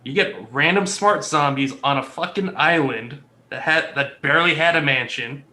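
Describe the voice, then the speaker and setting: A man with a bright, forceful, fairly high voice chats as a panelist on a discussion show. He is blunt and teasing, and he swears freely. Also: moderate pace. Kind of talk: casual chat, teasing debate.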